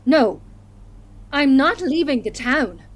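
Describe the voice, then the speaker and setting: A woman's voice: sits high, expressive, takes it slowly, background noise. A woman playing an unhinged, dreamy and menacing recluse in an audio horror drama. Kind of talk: audio horror drama acting with musical singing.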